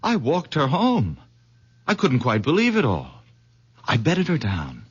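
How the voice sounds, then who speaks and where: A man's voice: medium pitch, wildly swooping pitch, a little slow, husky, exaggerated voice. An actor playing an awestruck young man, hesitant, stammering and earnest, in an old-time radio drama. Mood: amazed. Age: young adult. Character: awestruck, grateful young visitor. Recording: dull lo-fi old radio recording.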